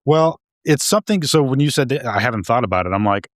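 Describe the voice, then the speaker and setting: A man's voice: mid-range voice, lively intonation, fast, no echo, studio quality. A man co-hosting a podcast, talking casually and hesitantly, often restarting and repeating words. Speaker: podcast co-host. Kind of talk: casual discussion.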